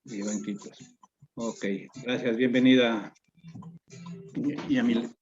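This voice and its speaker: A man with a dark, mellow voice leads the introductions for an online course and pauses often between halting phrases. The sound is dull and lo-fi, as over a video call. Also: mid-range voice, level tone, slight background noise. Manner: hesitant, many fillers and restarts. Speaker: course organizer and moderator.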